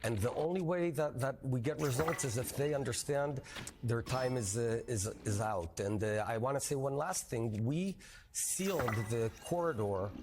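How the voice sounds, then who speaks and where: A man answering questions in a televised interview, measured and hesitant, with many fillers. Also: mid-range voice, noisy background.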